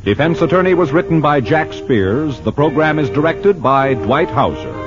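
A man's voice: a little high, sing-song, moderate pace. A man announcing scripted credits as a mid-century radio announcer. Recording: muffled vintage radio broadcast. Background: music.

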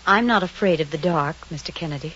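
An actress playing a headstrong, sensible woman who insists she is not afraid, firm and brisk, in a vintage radio drama. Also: low voice, a bit bright, slightly rough. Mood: uneasy bravado. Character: headstrong, sensible unmarried woman, stubbornly unafraid. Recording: muffled old radio recording with hiss.

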